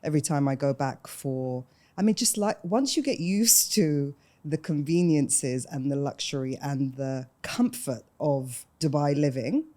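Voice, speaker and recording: A woman in her forties speaks with a London accent. Her voice sits low and soft-edged, with a dark, mellow tone. She talks in a casual, chatty way, telling personal stories as an interview guest. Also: lively intonation, measured pace, hardly any pauses. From London, UK, of Sudanese parents. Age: 40s. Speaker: podcast guest.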